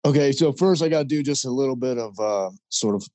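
A man speaking as a podcast guest and hunting guide, telling stories in a relaxed, easygoing way. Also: medium pitch, quick pace, dry recording. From Idaho, US. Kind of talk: casual interview talk.

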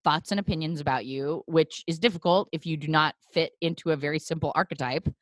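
A woman's voice: low-pitched, a little fast, no echo, poor audio. A woman hosting a casual chat in a thoughtful, wry way with many restarts, over a video call.

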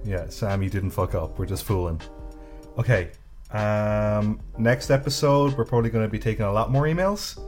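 Irish man from Cork, lowish voice. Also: normal speed, darkish tone, faint music behind. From Ireland. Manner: dry, teasing, swears casually. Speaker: podcast co-host. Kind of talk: casual chat.

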